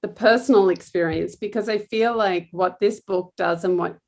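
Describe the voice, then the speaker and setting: A woman's voice: medium pitch, normal speed, creaky, darkish tone. A woman with an Australian accent, interviewing a guest in a rambling way with lots of hedges. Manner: rambling, hedging with "kind of" and "like". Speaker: interviewer, cookbook author. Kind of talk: conversational interview.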